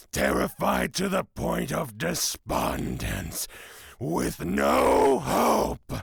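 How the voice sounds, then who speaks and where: An actor playing a menacing, scornful god of fear in a fantasy audio drama, gravelly and threatening. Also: male voice, mid-range voice, dry recording, clean studio sound, heavily acted. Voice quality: gravelly, husky. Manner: slow, deliberate, threatening.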